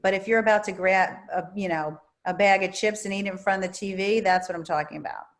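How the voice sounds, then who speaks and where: A woman therapist and author answering questions at a virtual book talk, calm and soothing, with lively emphasis. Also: mid-range voice, fast talker.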